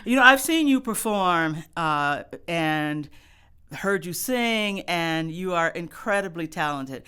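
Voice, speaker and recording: A woman's voice: on the low side, expressive, slow, sharp tone, smooth. A woman hosting a conversational interview podcast, speaking in an effusive, complimentary way.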